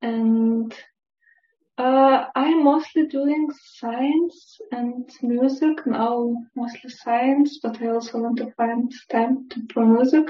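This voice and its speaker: A woman's voice: fairly high pitch, fairly even intonation, takes it slowly. A young woman with a Lithuanian accent giving casual, hesitant interview answers in a dull, echoey recording. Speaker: interview guest, musician and scientist. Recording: dull, echoey room sound.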